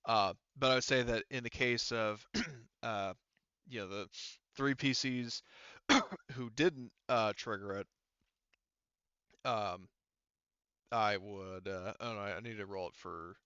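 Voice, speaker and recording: A man leads a tabletop roleplaying session as game master, his voice bright and smooth. He speaks haltingly, working out rulings as he goes. The recording is close and dry, a little muffled. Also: lowish voice, no echo. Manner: halting, thinks aloud while working out rules.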